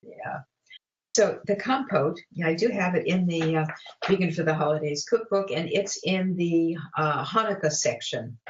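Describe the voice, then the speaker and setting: A woman's voice: on the low side, moderate pace, reverberant, highs cut off, slight background noise. A woman in her late seventies, a guest chatting casually about cooking, with many fillers and restarts. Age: 70s. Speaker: guest, vegan cookbook author and cooking teacher. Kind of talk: casual interview chat.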